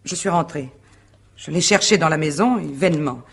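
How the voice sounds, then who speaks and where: An actress playing a proud, guarded wife under suspicion in a radio mystery drama, answering formally and with composure. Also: female voice, sits low, normal speed, husky. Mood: guarded, factual. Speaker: actor in a radio mystery play.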